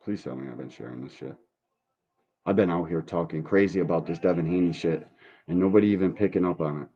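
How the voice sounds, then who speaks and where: A man's voice: sits low, fast, dark tone. A man hosting a podcast livestream, ranting casually and bluntly with heavy swearing and complaints. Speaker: podcast host. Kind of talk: casual livestream rant, profane. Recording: dull, muffled lo-fi stream audio.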